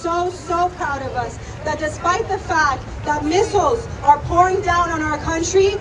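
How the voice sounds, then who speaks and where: A woman's voice: extremely high pitch, moderate pace, far from the mic. A young woman giving an impassioned, emphatic protest speech outdoors, repeating phrases for emphasis. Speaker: rally speaker. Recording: crowded noisy outdoor rally, loud street noise. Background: crowd and vehicle noise.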